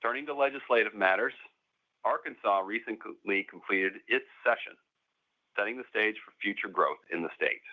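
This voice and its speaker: A man with a fairly low voice comes through a narrow, phone-like line. He reads scripted corporate remarks in a formal, measured manner, as an executive addressing investors on a conference call. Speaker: company executive presenting.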